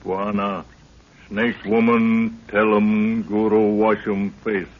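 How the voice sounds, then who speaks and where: A man's voice: on the low side, level tone, takes it slowly, slight background noise. An actor playing a blunt, bloodthirsty African tribesman in a 1930s radio drama, speaking terse broken English. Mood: ominous. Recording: muffled 1930s radio recording.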